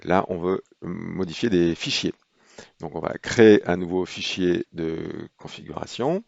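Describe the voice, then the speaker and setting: A man calmly walking through a software procedure in a tutorial, pausing often with hesitations as he explains each step. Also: sits low, creaky, no room sound, lo-fi sound. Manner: unhurried, explanatory, frequent hesitations. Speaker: software trainer. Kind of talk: screen-recorded software tutorial.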